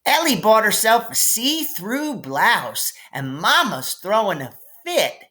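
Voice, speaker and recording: A man voicing an excitable, gossipy little girl in an audiobook, eager to tattle. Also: sits high, takes it slowly, voice pushed up, full character voice. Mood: eager, excited. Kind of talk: audiobook character voice.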